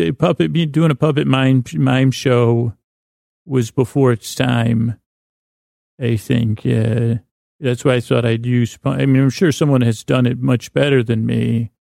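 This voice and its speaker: Male sleep-podcast host, heavily gravelly fry, halting soothing rambles, close dry sound over soft music. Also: mid-range voice, no room sound. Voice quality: creaky, gravelly. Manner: meandering, halting, stammering and repeating himself; lulling, soothing.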